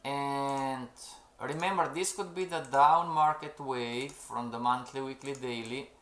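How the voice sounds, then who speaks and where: A man's voice: medium pitch, fairly even intonation, unhurried, a bit bright. Man teaching a live trading class. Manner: keeps saying "everybody" and "okay?", reads out the chat. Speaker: trading instructor. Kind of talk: live-streamed lesson. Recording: roomy echo.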